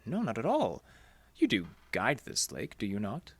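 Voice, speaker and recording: A man's voice: medium pitch, huge pitch swings, moderate pace, husky, no echo, exaggerated voice. A man playing a courtly, sly and mysterious vampire stranger in a horror audio drama, formal and elaborately polite. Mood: courtly, eager.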